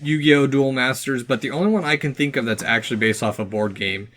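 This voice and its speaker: Bright-voiced man chatting on a podcast. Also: medium pitch, brisk. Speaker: podcast host. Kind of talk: casual podcast discussion.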